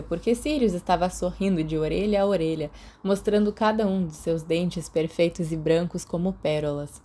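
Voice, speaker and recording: A woman's voice: fairly low pitch, dark tone. A Brazilian woman reading a story aloud as scripted audiobook narration. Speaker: podfic narrator.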